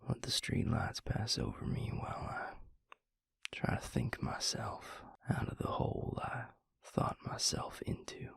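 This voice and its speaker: A man playing a weary, grieving vampire lover, tender and gruff, in an intimate audio roleplay with a husky, gravelly murmur. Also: wildly swooping pitch, dry recording, whispered, clean studio sound, heavily acted. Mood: weary, brooding. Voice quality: husky, breathy, gravelly low voice. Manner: slow, halting, murmured, with sighs.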